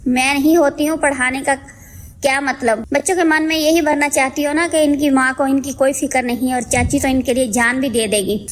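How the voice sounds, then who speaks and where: A woman playing a jealous elder sister-in-law and mother in an animated story, sarcastic, scolding and accusatory. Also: high voice, normal speed, natural voice. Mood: scolding, bitter. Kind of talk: Hindi animated moral story dialogue.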